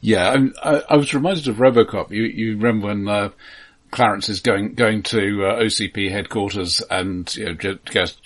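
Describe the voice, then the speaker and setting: A man co-hosting a film podcast in casual discussion, speaking in a halting way with dry asides. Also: on the low side.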